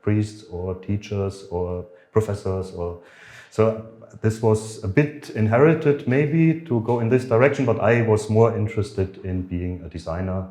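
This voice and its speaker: Middle-aged man, halting. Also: lowish voice, warm tone, roomy sound. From Germany. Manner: halting, many fillers and restarts. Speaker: guest. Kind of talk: conversational podcast interview.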